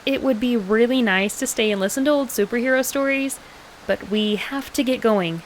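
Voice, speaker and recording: A woman's voice: on the high side, moderate pace, slight background noise, natural voice, low-quality recording. A woman voicing a confident, helpful female android agent in a comic dub, earnest and composed. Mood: determined.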